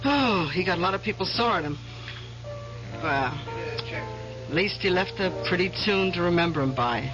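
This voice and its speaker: A woman's voice: on the low side, animated, moderate pace, pauses often, lightly acted. An actress playing a chatty waitress in a 1970s radio drama who rambles and chuckles as she gossips. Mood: gossipy. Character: chatty gossiping middle-aged woman waitress. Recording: dull 1970s radio broadcast, music behind.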